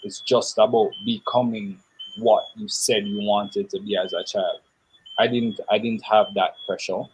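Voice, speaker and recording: A young man, answering interview questions in a thoughtful, measured way with pauses. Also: on the low side, fairly even intonation, slightly rough, poor audio. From Jamaica. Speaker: interview guest.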